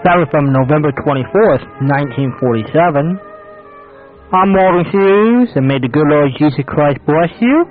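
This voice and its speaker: A man hosting a nostalgia radio show, giving a slow, drawn-out sign-off. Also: a little high, animated, narrow, tinny audio, faint music behind.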